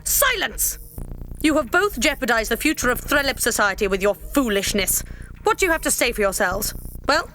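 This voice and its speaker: A woman's voice: on the high side, sing-song, normal speed, pushed and bright. A British woman playing a bossy young lady posing as an imperious alien noblewoman in an audio drama, commanding and scolding. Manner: haughty, commanding, crisply scolding. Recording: faint background noise.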